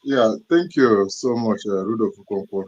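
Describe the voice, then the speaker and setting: A man's voice: on the low side, moderate pace, a little mellow. A man calling into a show, talking in a rambling, repetitive way and stressing his points. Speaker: caller. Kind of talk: casual discussion. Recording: muffled lo-fi call audio.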